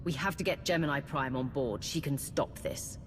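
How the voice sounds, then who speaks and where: An actress playing a resolute military commander with a conscience in a video game, speaking firmly and with command. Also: female voice, very deep voice, normal speed. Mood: urgent. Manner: firm, commanding. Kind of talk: video game cutscene acting. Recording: game music under the voice.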